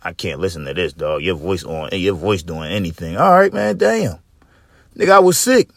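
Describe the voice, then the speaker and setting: Raspy-voiced man ranting on a podcast. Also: big pitch swings, normal speed, no room sound. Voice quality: slightly raspy. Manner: profane, joking rants with big pitch swings. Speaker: podcast host. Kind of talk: casual chat.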